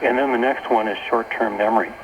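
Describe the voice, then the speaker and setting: An American man speaking as a radio interview guest, explaining haltingly and rephrasing as he goes, on a noisy shortwave tape. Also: mid-range voice, steady tone. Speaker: talk show guest, author and workshop leader. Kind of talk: unscripted radio interview talk.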